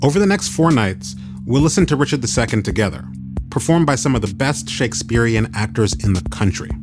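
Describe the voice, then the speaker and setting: A man's voice: mid-range voice, lively intonation, a little fast, over music. A man hosting a radio arts program, delivering scripted narration as a writer and critic. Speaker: host, writer and theater critic.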